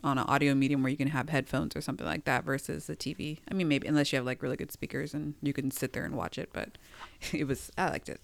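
A woman's voice: extremely low pitch, fairly even intonation, fast talker, creaky, no echo. A woman co-hosting a casual podcast chat. She rambles and searches for words, with lots of fillers. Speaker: podcast co-host.

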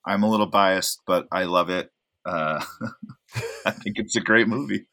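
A man's voice: deep voice, measured pace, bright, forceful tone. A man in his thirties, an actor from Oregon, chatting casually as a podcast guest, rambling and self-deprecating with many fillers. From Oregon, USA. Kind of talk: casual interview chat.

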